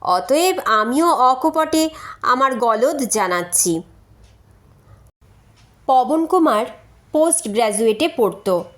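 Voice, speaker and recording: A woman narrator voicing a frank, playful young woman reading out her chatty letters in a storybook. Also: high voice. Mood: frank, teasing.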